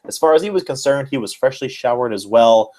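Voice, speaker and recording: A man hosts a podcast and reads fan fiction aloud. He treats it as drama, with a mock-serious delivery. His pitch sits in an ordinary male middle range. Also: animated, brisk, darkish tone. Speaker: podcast host.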